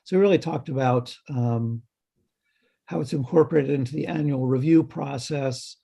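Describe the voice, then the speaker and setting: A man giving a halting update at a health committee meeting over a video call, slightly hoarse, with many ums and restarts. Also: mid-range voice, mellow. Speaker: health department staff presenter.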